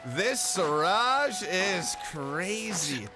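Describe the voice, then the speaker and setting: A man's voice: sits high, sing-song, drawn-out words. A man giving casual commentary as he plays through a game. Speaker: streamer. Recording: clear game music behind. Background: game music.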